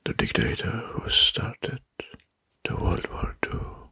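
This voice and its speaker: A man whispering an ASMR history narration into a close microphone, hushed and deliberate, softly repeating key phrases. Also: dry recording. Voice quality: breathy, mostly unvoiced whisper. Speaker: ASMR host.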